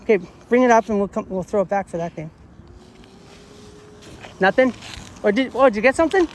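A man in his forties with a fairly high voice chats casually to a handheld camera. He is plain-spoken and pauses with hesitations. Clear traffic and outdoor noise sits around his voice. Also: lively intonation. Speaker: vlog host, magnet fishing father. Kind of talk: casual outdoor vlog chat. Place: outdoors, bridge by a road and later outside at home. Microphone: handheld camera or phone. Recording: noisy outdoor traffic ambience.

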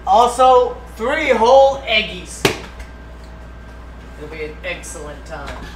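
A man's voice: sits high, sing-song, slow. A man hosting a casual cooking livestream, talking through the steps with profane jokes. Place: indoors in a home kitchen. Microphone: distant room mic. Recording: echoey room, distant mic, faint noise.

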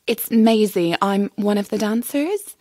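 A woman's voice: mid-range voice, a little slow, mellow, dry recording, lightly acted. A woman playing a timid, eager, slightly unsettling young chorus dancer in an audio drama, hesitant and apologetic. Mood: nervous, apologetic.

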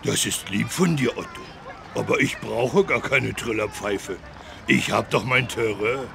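A man voicing a big, good-natured, curious talking elephant in a German children's cartoon game, gravelly and drawn-out. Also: mid-range voice, pushed and bright, exaggerated voice. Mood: kindly.

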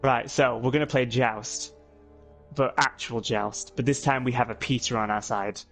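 A man's voice: mid-range voice, fairly even intonation, moderate pace, fairly bright tone, faint music behind. A young man running a group game session, joking goofily and in a sing-song way with his friends. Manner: goofy, sing-song, jokey. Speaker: gamer and group organizer. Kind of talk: casual gaming banter.

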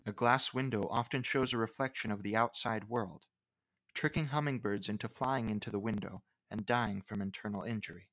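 A man's voice: on the low side, moderate pace, a bit bright. Man narrating a nature explainer. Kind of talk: scripted educational nature narration.

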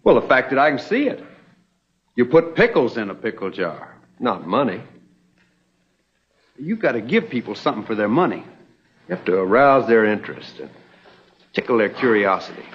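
Animated, self-assured young Western gentleman. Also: mid-range voice, normal speed, long pauses, a little hiss or hum. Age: young adult. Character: smooth-talking, self-assured young stranger in Old West. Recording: muffled vintage TV soundtrack.

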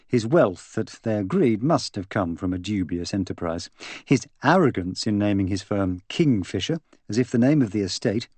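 A man narrates a mystery novel in a fairly low voice. His reading is measured and expressive, in a polished literary style. The sound is close and very dry, with no room on it. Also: no room sound. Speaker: audiobook narrator.

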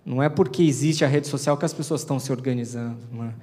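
A man's voice: mid-range voice, quick pace, a little mellow. A man in his thirties with a Brazilian Portuguese accent, a mayor giving a public address on a handheld mic, informal and conversational with frequent fillers. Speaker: city mayor. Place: large auditorium, seated at a panel table. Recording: long hall reverb.

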